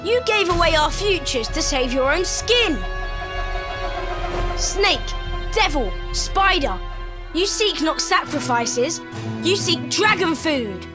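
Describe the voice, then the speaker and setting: A woman's voice: very high-pitched, very animated, slow pace, pauses often, music in the background, exaggerated voice. A woman voicing a fiery, accusing young boy in a video game cutscene, with theatrical, declamatory delivery. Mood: accusing, scornful.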